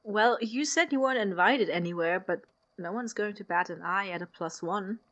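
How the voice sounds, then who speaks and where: A woman's voice: mid-range voice, normal speed, a bit bright, muffled recording, natural voice. A woman playing a playful, outgoing college student in an audio drama, teasing and coaxing as she talks. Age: young adult. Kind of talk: naturalistic audio drama acting.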